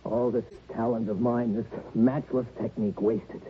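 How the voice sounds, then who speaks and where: An actor playing a temperamental, vain young violinist who has killed, impassioned and self-pitying in an old radio drama. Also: male voice, medium pitch, level tone, moderate pace, close to an everyday voice. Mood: self-pitying, anguished. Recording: tinny old radio broadcast, faint noise.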